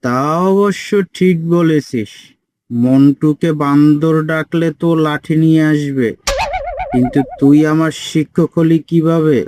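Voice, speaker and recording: A man's voice: a little high, measured pace, warm tone, close to an everyday voice. A man voicing a mischievous, complaining old village grandfather in a comic cartoon. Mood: grumbling.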